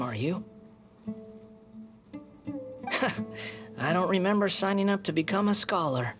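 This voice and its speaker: A woman voicing a haughty, sardonic young male prisoner in a video game, with a sarcastic, dismissive drawl. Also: extremely low pitch.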